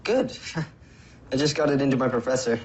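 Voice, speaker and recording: A man's voice: mid-range voice, moderate pace, a little mellow, lightly acted. Man acting easygoing college student, slightly muffled. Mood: pleased. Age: young adult. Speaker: actor in a TV film. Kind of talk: naturalistic film dialogue. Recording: dull band-limited sound, music behind.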